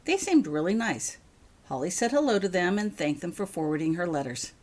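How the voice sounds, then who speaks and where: A woman's voice: lowish voice, very animated, brisk, darkish tone. A woman reading scripted audiobook narration of a story as its author. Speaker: author reading their own fan fiction.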